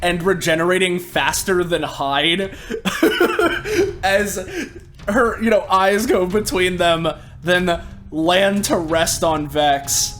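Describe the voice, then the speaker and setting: Higher-voiced man, casual game player. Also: takes it slowly, a bit bright. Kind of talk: casual in-game narration and dialogue.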